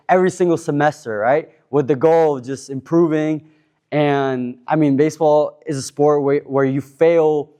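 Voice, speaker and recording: A man in his twenties from Cuba, introducing himself on a panel in a relaxed, rambling way with many fillers and restarts. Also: on the high side. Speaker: panel speaker. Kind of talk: casual self-introduction at a panel.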